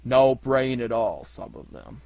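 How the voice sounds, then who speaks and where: A man voicing a melancholy, self-pitying donkey in a storybook, slow, deadpan and resigned. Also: medium pitch, gravelly, husky, no echo, heavily acted, poor audio. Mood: gloomy, resigned.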